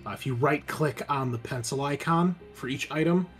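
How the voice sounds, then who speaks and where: A man's voice: medium pitch, normal speed, fairly bright tone, soft background music. A man acting as game master, casually narrating and explaining things to players.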